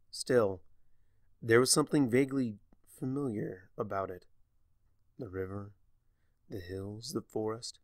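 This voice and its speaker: A man narrating a story from a script, with deliberate, theatrical phrasing. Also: mid-range voice, no echo.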